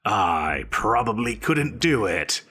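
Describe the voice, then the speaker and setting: Man playing a bright, pompous supervillain boss. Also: lowish voice, very animated, slow, husky, heavily acted. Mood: sheepish, resigned. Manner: pompous, melodramatic. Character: petulant, theatrical male supervillain boss, grandiose but exasperated. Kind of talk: scripted comedic audio roleplay.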